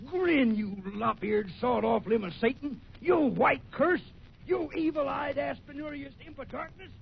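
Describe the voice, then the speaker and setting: An actor playing a grouchy, excitable prospector in an old-time radio western, with a folksy rustic drawl and blustering, indignant manner. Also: male voice, very high-pitched, normal speed, vocal fry. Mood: outraged. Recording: muffled, noisy old radio recording.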